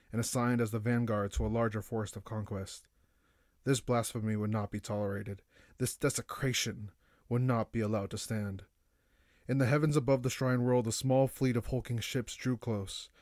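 A man with a lowish voice reads scripted dramatic narration. He sounds solemn and grave, and the recording is close and dry, with no room sound. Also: darkish tone, dry recording. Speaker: audiobook narrator. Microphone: close, dry studio-style microphone.

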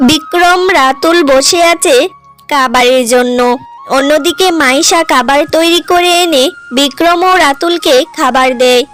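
High-pitched woman narrating a cartoon story. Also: a little slow, a bit bright, soft background music.

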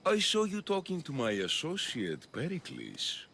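An actor playing a scheming, grandiose populist politician of ancient Athens in video game dialogue, with a gravelly, oratorical delivery. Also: male voice, fairly high pitch, huge pitch swings, a little slow, a bit bright, a little hiss or hum, exaggerated voice. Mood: insinuating.

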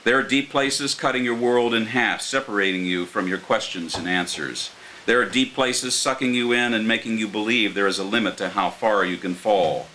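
Older American male poet at a live reading. Lowish, bright, earnest delivery, faint hiss behind. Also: moderate pace, low-quality recording. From Martins Ferry, Ohio, United States. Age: older, around 60. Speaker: poet reading his own work. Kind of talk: live poetry reading with informal introductions. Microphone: microphone on a stand. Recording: clear background hiss.

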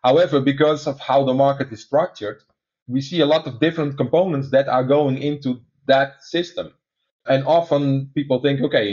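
A man with a Dutch accent, a guest expert explaining technical systems in conversation, pausing often with fillers. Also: mid-range voice, a little mellow, dull audio. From Netherlands. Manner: many "uh" fillers, measured explaining.

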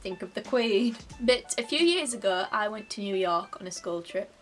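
A woman's voice: mid-range voice, animated, moderate pace, faint music behind. A young woman in her twenties with a Yorkshire accent, chatting to camera in a playful, self-mocking way from her bedroom. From Yorkshire, England. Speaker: vlogger. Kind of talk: casual comic chat to camera. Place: bedroom, indoors.

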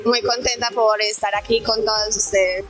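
A young woman with a Colombian accent answering questions on a plaza, relaxed and hesitant with fillers. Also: mid-range voice, moderate pace. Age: young adult. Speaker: interviewee. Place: outdoor town plaza. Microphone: handheld mic held by interviewer.

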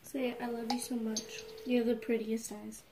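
A woman's voice: fairly high pitch, level tone, moderate pace. A young girl casually prompting her friends in a bedroom. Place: small bedroom, indoors. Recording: noisy handheld phone recording.

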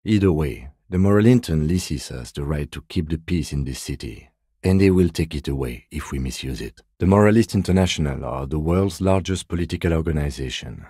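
A reserved, middle-aged male police detective from a video game. His voice is extremely deep and soft-edged, recorded dry and close. He speaks in measured, formal phrases with understated, principled restraint. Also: animated, breathy edge, dry recording, heavily acted. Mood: earnest, reserved. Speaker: voice actor.

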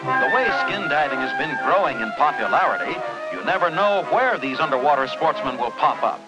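Casting: American male narrator, 1950s newsreel delivery, slightly high voice, dramatic and folksy; vintage film sound with prominent music bed. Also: lively intonation, fairly quick, rough recording. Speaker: documentary narrator. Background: loud background music.

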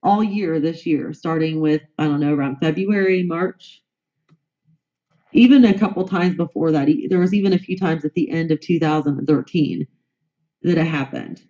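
A woman's voice: low voice, moderate pace, dark tone, dull audio. A woman with a bad cold, answering interview questions as a guest in an indignant, repetitive way. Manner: indignant, repeats herself. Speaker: guest, news reporter. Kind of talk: interview answers, aggrieved complaint.

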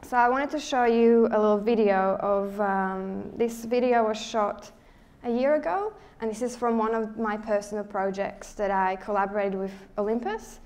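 A young woman from Slovakia with a Slovak accent, speaking as a guest presenter to a live audience in a lecture hall, friendly and relaxed, restarting sentences as she thinks. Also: medium pitch, moderate pace. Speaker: guest speaker, underwater photographer. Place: lecture hall at a photo show.

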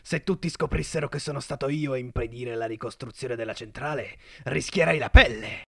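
A man's voice: medium pitch, fairly quick, vocal fry, no room sound, clean studio sound. A man playing a bossy, scheming middle-aged tycoon villain in a children's cartoon, domineering and irritable. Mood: alarmed, scheming.

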